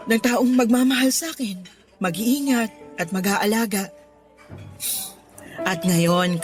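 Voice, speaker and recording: An affectionate middle-aged mother played by a woman in a Filipino radio drama. Her medium-pitched voice is expressive and emotional, with a Filipino accent, and faint music plays underneath. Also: natural voice. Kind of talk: radio drama acting in Tagalog. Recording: music bed behind the voice.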